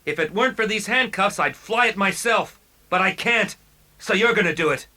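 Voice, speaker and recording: Excitable high-pitched nerdy sidekick. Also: male voice, normal speed. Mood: eager. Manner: frantic, exclamatory. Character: excitable, anxious young male human scientist sidekick. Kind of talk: dramatized children's read-along audio story.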